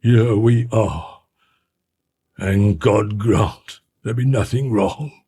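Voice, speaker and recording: A man's voice: lowish voice, very slow, warm tone, breathy edge, pitched above the performer's own voice, exaggerated voice. A man voicing a frightened, loyal butler in a storybook reading, urgent and deferential, with a harsh, strained voice. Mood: grave dread. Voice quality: harsh, broken voice. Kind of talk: dramatic audiobook character reading.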